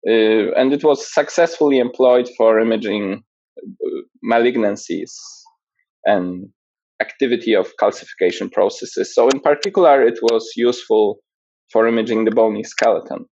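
Polish-born male researcher, husky, dry, lo-fi remote audio, technical and measured. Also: fairly low pitch, darkish tone, dry recording. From Poland. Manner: measured, technical, with frequent fillers. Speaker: guest researcher. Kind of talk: explaining research in an interview.

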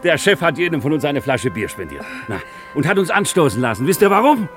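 An exuberant young working man in love in a 1950s radio drama. His voice sits high and leaps up and down, bubbling with hope and laughter, with music playing behind him. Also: a little fast. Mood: excited. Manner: excitable, laughs through his speech. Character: exuberant young working-class craftsman in love, defiantly hopeful.

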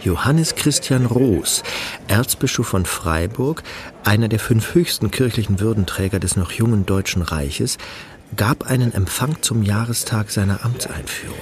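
A man narrating a radio drama in a measured, matter-of-fact way. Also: lowish voice, expressive, a little hiss or hum, lightly acted.

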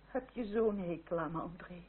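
A woman's voice: on the low side, moderate pace, husky. An actress playing a timid, anguished noble mother in an old radio melodrama, faltering and pleading. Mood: hurt, pleading. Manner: faltering, pleading, breathless exclamations. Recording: old radio recording, phone-like, faint noise.

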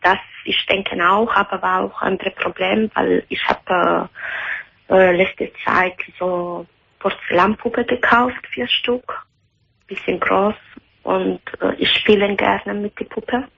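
Italian-accented woman, 30s, hesitant, slow, gravelly, dull phone line. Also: mid-range voice, fairly even intonation, fairly bright tone. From Italy. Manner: hesitant, halting with many fillers. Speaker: caller. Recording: dull phone-in radio sound.

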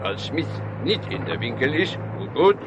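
A man's voice: medium pitch, very animated, moderate pace. A man playing an eager, money-minded foreign taxi driver in a radio drama, speaking broken sentences with a foreign accent. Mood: eager, helpful. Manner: broken grammar, hustling. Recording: muffled, loud street and engine noise.

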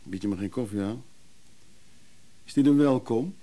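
A man's voice: medium pitch, normal speed, mellow, natural voice. An actor playing a bitter, needy, down-on-his-luck brother in a radio drama, reproachful and pleading. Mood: needy, sullen.

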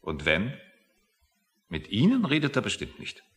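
Grumpy pedant, radio satire: low, gravelly male, lecturing with swooping emphasis. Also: huge pitch swings, a little slow, exaggerated voice. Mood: scornful. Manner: pedantic, lecturing, easily offended. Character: grumpy, self-satisfied, pedantic man waiting outside a meeting room. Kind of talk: satirical German radio play dialogue. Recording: reverberant hall-like room.

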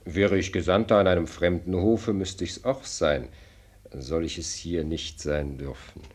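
A courtly old minister of state in a radio play. His low, husky voice is measured and ceremonious, fatherly yet always diplomatically deferential. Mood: diplomatic. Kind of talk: German radio play acting.

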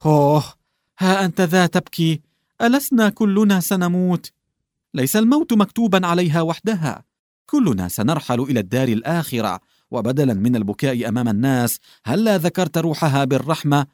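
Tactless old official stumbling over his words, played by a man; raised, lively pitch. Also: brisk, no echo, studio quality, close to an everyday voice. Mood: flustered. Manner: fumbling, backtracking, stammering with "ah". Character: bumbling old male official, coughing, tactless.

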